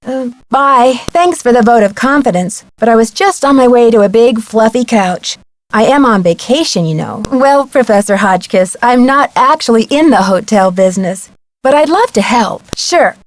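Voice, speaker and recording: A woman's voice: a little high, animated, moderate pace, natural voice. A woman playing a polite, wry young female amateur detective in a video game, agreeable with dry sarcasm. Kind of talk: video game character dialogue.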